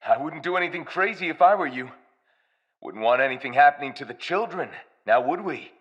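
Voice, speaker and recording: A man's voice: a little high, expressive, a little fast, a bit bright, lo-fi sound. A man playing a menacing pirate leader in an audio drama, smug, taunting and commanding.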